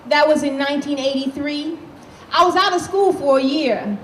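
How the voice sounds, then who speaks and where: A woman's voice: high voice, normal speed, pitched above the performer's own voice, natural voice. A woman reading aloud in character as a blunt, defiant sixteen-year-old girl from Harlem, with a streetwise, profane way of talking. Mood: matter-of-fact. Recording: echoey room with faint noise.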